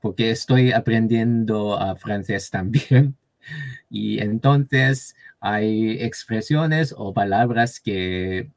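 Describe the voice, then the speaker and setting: A man from Taiwan with a Taiwanese accent, a guest speaking Spanish as a learner, halting with many fillers and restarts. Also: on the low side, dry recording. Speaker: interview guest. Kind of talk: casual conversation.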